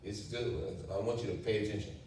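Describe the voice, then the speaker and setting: Low-voiced pastor in an echoey hall. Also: male voice, steady tone, fast, a little mellow, distant mic. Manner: casual, repeats questions to the crowd. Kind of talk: sermon talk with the congregation. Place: church hall. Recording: echoey church hall, mic from afar.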